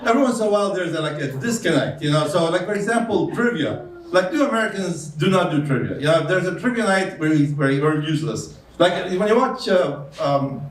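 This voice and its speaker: A man with a foreign accent performs stand-up in deadpan, halting bits, his voice a little high. The sound is roomy and distant, picked up from across a club. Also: a little hiss or hum. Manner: deadpan storytelling with hesitant fillers. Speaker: stand-up comedian. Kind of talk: stand-up comedy set. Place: comedy club stage. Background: audience laughter.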